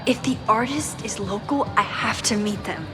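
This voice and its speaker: A woman's voice: on the high side, normal speed, lightly acted. A woman playing a thoughtful, caring young woman in a video game, speaking in an understated, introspective way. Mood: musing. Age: young adult. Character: thoughtful young woman, caring, quietly burdened photographer with powers. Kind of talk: video game acting, inner monologue and casual dialogue. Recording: music behind the voice.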